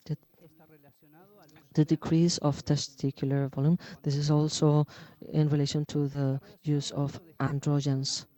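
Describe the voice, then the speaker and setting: Halting man giving a medical lecture. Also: on the high side, level tone, mellow. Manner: halting, many fillers and restarts. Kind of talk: conference lecture.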